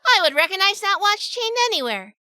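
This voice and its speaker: A woman's voice: very high voice, sing-song, fairly quick, pushed and bright, no room sound, studio quality, heavily acted. A woman playing a shrewd, sassy, hardboiled heroine narrating a detective audio drama. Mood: suspenseful. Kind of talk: first-person detective drama acting and narration.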